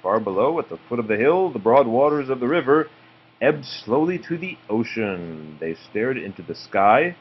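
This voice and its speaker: A man reading a children's storybook aloud like a father, with expressive, deliberate phrasing. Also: mid-range voice, breathy edge. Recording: muffled, faintly noisy home recording.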